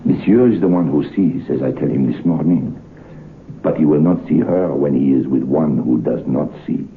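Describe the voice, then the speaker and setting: Very deep, gravelly French waiter. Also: male voice. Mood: grave warning. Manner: halting, formal, deliberate broken English with French words. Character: grave French waiter telling a ghostly tragic tale. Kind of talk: old-time radio horror drama acting. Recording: muffled old radio recording with hiss.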